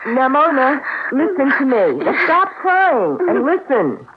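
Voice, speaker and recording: An actress playing an exasperated woman friend in a radio drama, firm and insistent as she tries to calm someone panicking. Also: high voice, unhurried. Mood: firm reassurance. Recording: dull, band-limited radio drama recording.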